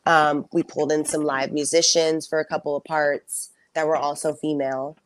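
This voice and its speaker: Low-voiced, soft-spoken young woman. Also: level tone, moderate pace, no echo. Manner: soft-spoken, reflective, many fillers. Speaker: singer and songwriter guest. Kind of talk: casual interview storytelling.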